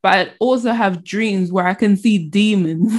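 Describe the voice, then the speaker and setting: A woman telling her faith story in casual conversation, often pausing and restarting her sentences. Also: mid-range voice. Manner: halting, restarts, laughs while telling.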